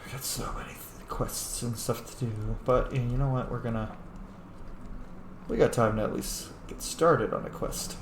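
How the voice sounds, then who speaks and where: A man's voice: medium pitch, steady tone, moderate pace. Casual male gamer in a roomy space. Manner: rambling, muttering to self. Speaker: gaming streamer. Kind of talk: casual let's play commentary. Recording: roomy echo, faint background noise.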